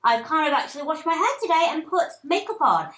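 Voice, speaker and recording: A woman hosting a casual podcast chat, talkative and self-deprecating, with frequent giggles, recorded in a small flat. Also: high-pitched, fast, roomy sound. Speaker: podcast host.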